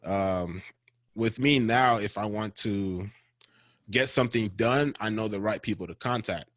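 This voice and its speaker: Low-voiced man, dry and casual. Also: unhurried, a bit bright, dry recording. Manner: loose and conversational, with many fillers. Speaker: panel speaker. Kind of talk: casual personal story.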